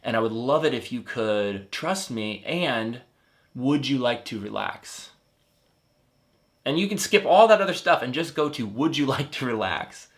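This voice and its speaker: A man in his thirties, a life coach, gently and earnestly explaining a therapy method, with frequent fillers and restarts. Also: medium pitch, animated, measured pace. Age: 30s. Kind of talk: explanatory teaching talk.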